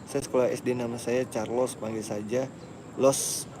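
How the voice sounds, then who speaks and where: A man's voice: medium pitch, level tone, moderate pace, crowd noise. A young man, chatting casually as he reads live comments aloud. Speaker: live streamer. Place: outdoors at a busy tennis court. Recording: noisy outdoor spot, traffic-like background.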